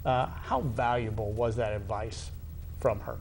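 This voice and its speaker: A man hosting a conversational sports interview, feeling his way through questions in a halting manner and often rephrasing them. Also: medium pitch, fairly even intonation, creaky, slight background noise. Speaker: interviewer, show host.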